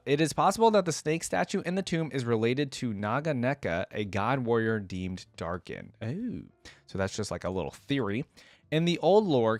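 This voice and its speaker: A man's voice: mid-range voice, no echo. Guy doing casual reaction commentary, mid voice, really dry close sound, talks to viewers. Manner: informal, chatty asides to viewers. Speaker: reaction video host. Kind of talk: casual reaction commentary, reading lore aloud.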